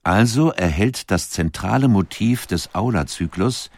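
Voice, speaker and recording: Deep-voiced male documentary narrator. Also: lively intonation, unhurried. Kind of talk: scripted narration. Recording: dry studio voice-over.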